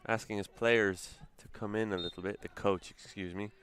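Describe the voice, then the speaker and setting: Deep-voiced easygoing soccer commentator man. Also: fairly even intonation, normal speed, fairly bright tone. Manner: easygoing, chatty, self-correcting. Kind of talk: play-by-play commentary.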